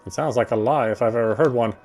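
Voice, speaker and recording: A man's voice: mid-range voice, fast, a little mellow. A man reading a visual novel's story text aloud as he plays, sometimes stumbling and rereading phrases. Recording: faint game music behind.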